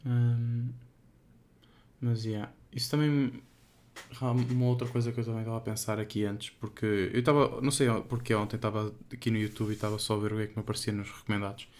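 A man's voice: on the low side, little pitch movement, quick pace, a little mellow. A young man with a European Portuguese accent chatting casually as a podcast co-host, rambling and hesitant, with many fillers.

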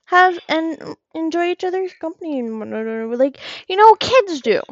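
A woman's voice: very high-pitched, animated, moderate pace, no room sound, dull audio, pitched above the performer's own voice. A woman voicing a nervous fourteen-year-old girl who rambles and babbles in a rush. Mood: defensive.

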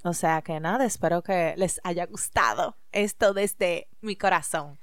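Smooth-voiced reflective woman podcaster. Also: fairly low pitch, unhurried, no echo, studio quality. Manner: reflective, emphatic, gently encouraging. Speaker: podcast co-host. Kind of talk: casual chat.

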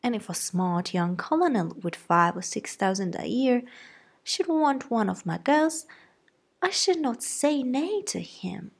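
A woman voicing an excitable, fussy middle-aged mother who gushes eagerly in a storybook reading. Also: gravelly, husky, full character voice. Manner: shrill, gushing, eager.

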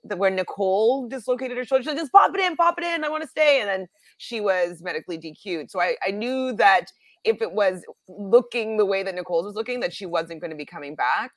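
A woman's voice: mid-range voice, lively intonation, brisk, dry recording. A woman chatting casually and giving her informal opinions as a panelist on a recap show. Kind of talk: casual chat.

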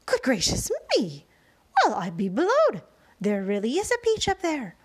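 A woman's voice: on the high side, big pitch swings, normal speed, breathy edge. A woman voicing a fat, greedy aunt in a storybook reading, with a plummy, gossipy manner. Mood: astonished. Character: greedy fat pulpy middle-aged aunt.

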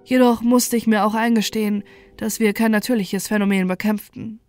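A woman's voice: mid-range voice, steady tone, normal speed, dark tone, soft background music. A woman reading a scripted first-person horror story as narrator, in a sober and controlled manner.

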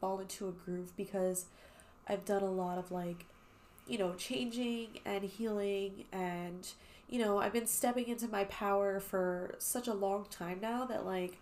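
A young woman talks casually on her podcast, rambling with plenty of fillers and the odd swear word. Her voice is mid-pitched and a bit nasal, as if she has a cold. A faint noise sits under the recording. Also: measured pace, a little mellow. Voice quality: slightly nasal, congested. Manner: chatty, swears freely, many fillers like "um" and "like". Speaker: podcast host. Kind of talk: casual chat.

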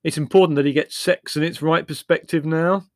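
Supercilious young literary brother for storybook, acted by a male narrator: opinionated, airy, pompous, forcefully projected. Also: mid-range voice, expressive, fairly quick, no echo, studio quality, voice pushed up. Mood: airy conviction. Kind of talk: audiobook character voice.

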